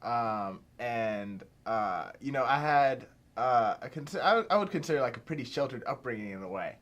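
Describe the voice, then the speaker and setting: A man's voice: medium pitch, expressive, normal speed, a bit bright. Rambling young man from Pittsburgh. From Pittsburgh, USA. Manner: rambling, many fillers and restarts. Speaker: filmmaker guest. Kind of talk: casual interview chat.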